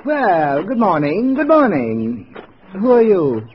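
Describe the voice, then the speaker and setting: A dithering, over-friendly fellow from 1950s radio drama. His voice sits high and leaps about as he fusses and stammers. The old recording is dull and muffled. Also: wildly swooping pitch, takes it slowly, a little hiss or hum, heavily acted. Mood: befuddled, friendly. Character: fussy, befuddled, over-friendly hollow-chested male lodge guest.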